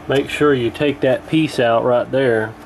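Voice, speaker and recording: A man's voice: medium pitch, steady tone, unhurried, background noise. A man giving a casual, hands-on repair walkthrough in a folksy, colloquial way, thinking aloud as he goes. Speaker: radio repair hobbyist presenting.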